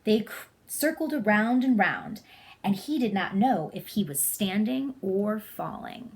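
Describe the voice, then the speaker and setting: A woman's voice: medium pitch, animated, measured pace. Woman reading a storybook aloud. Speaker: storybook reader. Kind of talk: read-aloud story narration.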